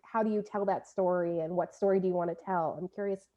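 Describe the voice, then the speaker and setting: A woman's voice: on the low side, fairly even intonation, quick pace. A woman in a casual, informal panel conversation, posing questions as a theatre director, on slightly dull audio. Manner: informal, uses "like" often. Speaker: theatre director and producer, interviewer.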